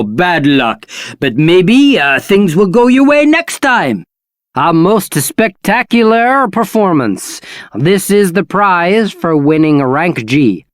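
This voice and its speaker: A woman with a British accent playing an excitable, courteous battle arena attendant in a video game, polite and theatrical. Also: lowish voice, dry recording, studio quality. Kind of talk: video game character acting.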